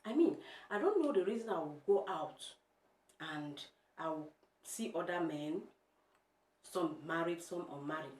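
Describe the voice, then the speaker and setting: Young nigerian igbo lady, deep low voice, emphatic questions at the camera, echoey room at home. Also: animated, measured pace, darkish tone. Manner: emphatic, asks the viewer direct rhetorical questions. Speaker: vlogger. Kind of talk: casual opinion vlog.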